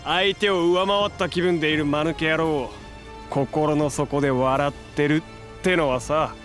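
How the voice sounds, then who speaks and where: An actor playing a hot-blooded, defiant young hero in an audio drama, brash and emotional with rough, slangy delivery. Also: male voice, fairly high pitch, steady tone, measured pace, fairly bright tone, faint music behind. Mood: cocky, taunting. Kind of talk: Japanese audio drama acting.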